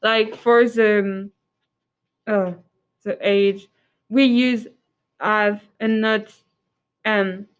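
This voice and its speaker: Slow-speaking woman. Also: medium pitch, fairly even intonation, clean tone. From France. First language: French. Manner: modest, halting, many pauses. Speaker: interviewee. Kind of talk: casual interview. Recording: dull lo-fi recording.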